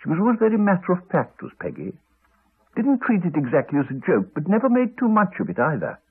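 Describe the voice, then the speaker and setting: An actor playing a courteous, wry English country doctor, middle-aged, with a hesitant, chatty manner in a 1980s radio drama. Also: male voice, sits high, huge pitch swings, fast, husky, exaggerated voice. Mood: hesitant, serious. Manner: hesitant, chatty, clipped phrases. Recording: slightly dull old radio recording.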